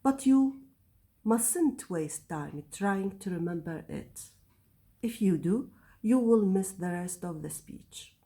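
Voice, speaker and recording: Woman teacher, slow careful reading, mellow dark tone. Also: mid-range voice. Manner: reads text aloud, glossing words in Arabic. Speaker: English teacher. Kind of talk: slow read-aloud lesson with translations.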